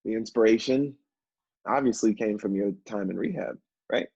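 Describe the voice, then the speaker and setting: A man acting a measured, encouraging literary agent in a stage play, polite in manner, on a dull recording. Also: lowish voice, a little mellow, slightly rough, dry recording.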